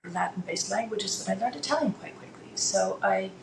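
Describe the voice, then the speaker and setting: Woman, Dutch native speaker, gravelly, hesitant, roomy echo. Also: medium pitch, fairly quick. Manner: hesitant, many fillers and restarts. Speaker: interview guest. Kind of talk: casual conversation. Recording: echoey room with faint background noise.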